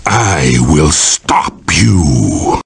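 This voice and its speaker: A man's voice: extremely low pitch, very animated, extremely slow, vocal fry, breathy edge, heavily acted. A man voicing a boastful, power-mad supervillain for a video game, grandiose, theatrical and menacing in delivery. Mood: taunting. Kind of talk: video game villain voice acting.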